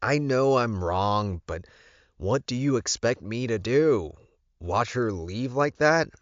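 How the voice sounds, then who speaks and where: A defensive werewolf alpha pleads his case, acted by a male audiobook narrator. His pitch is lifted and his pace is slow, in a dry recording. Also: lively intonation, dry recording, dull audio.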